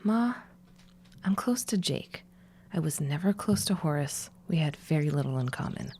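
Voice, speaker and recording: Wry, blunt grown daughter. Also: female voice, low voice, lively intonation, normal speed, a little mellow, husky. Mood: defensive. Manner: dry, blunt. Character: wry, realistic grown daughter, weary of family hopes. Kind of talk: period audio drama acting.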